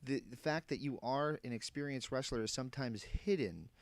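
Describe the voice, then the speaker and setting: A man's voice: medium pitch, normal speed. A man working as a reporter, politely asking a conversational question on a press call. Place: telephone conference call.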